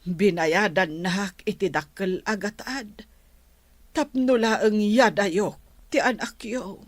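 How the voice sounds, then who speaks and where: A woman acting in a radio drama as a remorseful older grandmother confessing a secret, halting and pleading. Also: mid-range voice, no echo. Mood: sorrowful recounting.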